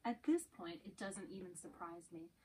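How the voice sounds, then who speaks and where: A woman's voice: fairly low pitch, normal speed, darkish tone, natural voice. A woman reading the part of a weary, cynical female teacher in an amateur script reading. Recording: faint background noise, clicks.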